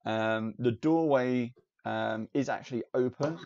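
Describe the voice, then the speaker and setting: Male game master, casual rambling narration, creaky tone, dull low-fi recording. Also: a little slow. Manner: relaxed, meandering narration with fillers. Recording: dull lo-fi audio, faint hiss.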